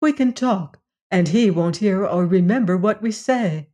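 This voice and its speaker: A woman reading aloud and voicing a calm, gently teasing alien woman from another planet. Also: mid-range voice, measured pace, lightly acted.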